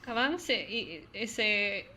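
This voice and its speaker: A woman's voice: mid-range voice, expressive, slow, crowd noise. A woman hosting a casual chat over a video call, talking hesitantly and searching for words as she goes. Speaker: host.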